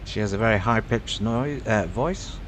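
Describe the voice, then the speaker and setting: A man's voice: fairly low pitch, normal speed, background noise. Chatty man streaming a flight sim. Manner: chatty, musing aloud with frequent fillers. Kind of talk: casual live-stream commentary.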